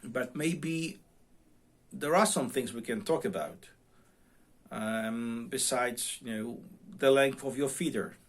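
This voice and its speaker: A middle-aged man with a non-native English accent and a mid-pitched voice talks casually to his viewers. He speaks in a halting, unscripted way, repeating the starts of phrases as he searches for the next word. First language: non-English, self-taught English speaker. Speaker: amateur radio vlogger. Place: home radio room.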